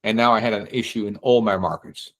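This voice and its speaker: A middle-aged man with a Dutch accent talks through real estate questions in a lively, chatty way. He acts out little quotes and keeps checking in with "right?", restarting his sentences as he goes. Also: lowish voice, fast talker. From Netherlands. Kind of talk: casual Q&A talk.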